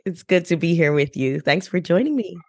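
A woman's voice: fairly low pitch, animated, a little fast, a little mellow, no room sound, studio quality. A woman hosting a podcast, coaching and interviewing in a conversational style. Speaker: podcast host, business English coach.

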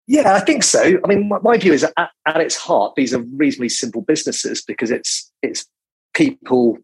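A man in his forties, a recruitment business leader talking as a podcast guest in a quiet, calm, measured way with frequent restarts. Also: mid-range voice, husky, no room sound, poor audio. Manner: quiet, calm, many restarts and repeated words. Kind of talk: measured business interview talk.

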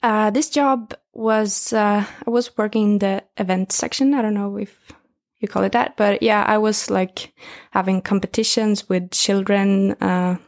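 A woman in her twenties from northern Sweden, speaking English with a Swedish accent in a relaxed, chatty interview. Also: mid-range voice, measured pace. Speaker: guest. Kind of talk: casual interview talk.

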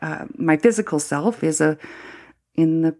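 A middle-aged Canadian woman, a former priest, speaking reflectively in an interview with many pauses, fillers and restarts. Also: low-pitched, darkish tone. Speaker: former Anglican priest, guest.

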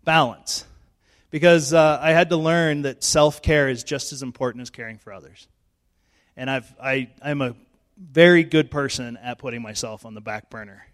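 A middle-aged male pastor, hoarse and losing his voice, giving a chatty, self-joking sermon in church on a handheld mic. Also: mid-range voice, moderate pace. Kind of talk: informal sermon. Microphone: handheld microphone.

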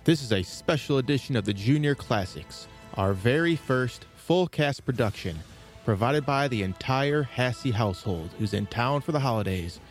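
A man hosting a children's story podcast, reading a scripted introduction in a measured, presentational way. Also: mid-range voice, animated, soft background music. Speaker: podcast host.

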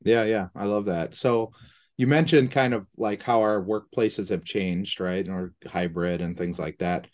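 A man's voice: lowish voice, unhurried, darkish tone. A man hosting a casual interview, asking informal, loosely built questions with lots of "like". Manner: informal, hedging questions full of "like". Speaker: host, interviewer.

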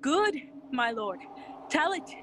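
A woman's voice: high voice, very animated, takes it slowly, background noise, heavily acted. A woman playing a loyal, wary young courtier in a Shakespearean audio drama, earnest and deferential. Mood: eager, earnest.

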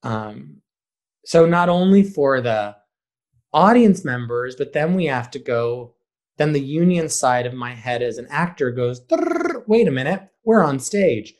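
A man's voice: medium pitch, very animated, measured pace, a little mellow. Male theater director in casual interview talk. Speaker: high school theater director being interviewed.

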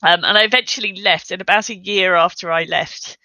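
A middle-aged woman with a bright, forward voice, recorded close and dry. She talks as an interview guest in a chatty, emphatic storytelling way, now and then correcting herself. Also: lowish voice, steady tone, brisk, no echo, lo-fi sound. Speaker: podcast guest, software consultant.

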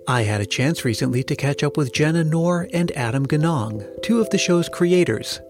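A man hosting a podcast, giving scripted introductions and interview questions. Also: mid-range voice.

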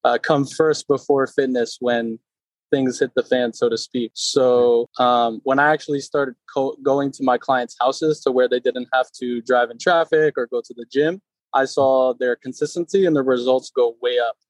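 A man in his thirties from Connecticut, a personal trainer talking casually in an interview, relaxed with many fillers. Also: mid-range voice, normal speed, no room sound, low-quality recording. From Connecticut, USA. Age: 30s.

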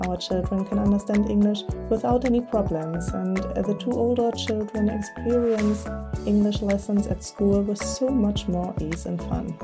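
A middle-aged woman from Germany with a German accent, hosting her podcast and reading an earnest, encouraging script. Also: mid-range voice. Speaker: podcast host.

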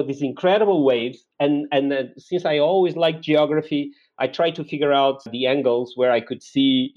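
A man's voice: mid-range voice, a little mellow. Portuguese man in his forties, slightly dull audio. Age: 40s. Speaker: interview guest. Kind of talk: conversational personal storytelling.

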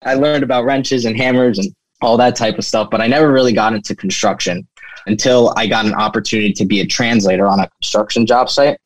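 A young man speaks as an interview guest, telling his story in a loose, casual way. His voice sits a little low and is peppered with "you know." The recording is close and dry. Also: steady tone, fast talker, no room sound, low-quality recording. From United States, born in Turkey. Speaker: interview guest, flooring business owner.

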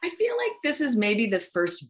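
A woman's voice: on the high side, wildly swooping pitch, moderate pace. A woman, an actress speaking casually as an interview guest. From Ontario, Canada.

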